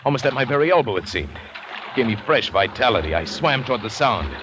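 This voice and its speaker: A man's voice: mid-range voice, very animated, fast, noisy background, lightly acted. An actor playing a shipwrecked American big-game hunter who narrates his ordeal dramatically in an old-time radio drama. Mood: desperate, relieved. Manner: dramatic, vivid storytelling. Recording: dull vintage radio recording, music behind.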